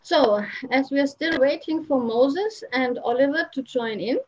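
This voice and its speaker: A woman's voice: high-pitched, a little slow, darkish tone, faint music behind. A woman hosting an online panel, speaking casually over a video call. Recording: muffled internet video call.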